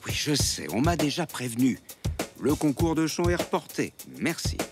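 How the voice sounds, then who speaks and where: A man playing a courteous, apologetic townsman in an animated children's cartoon. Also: on the high side, moderate pace. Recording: music behind.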